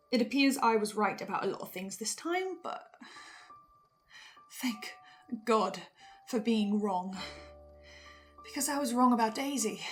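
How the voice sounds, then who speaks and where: A woman giving reflective recap commentary, earnest and musing, often in short halting phrases. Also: on the high side, a bit bright. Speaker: fan commentator playing a researcher.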